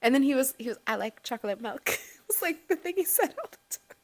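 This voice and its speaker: A woman's voice: sits high, sing-song, fast talker. A woman co-hosting a podcast, joking playfully with a friend in casual chat. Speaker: podcast co-host. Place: indoors on a couch.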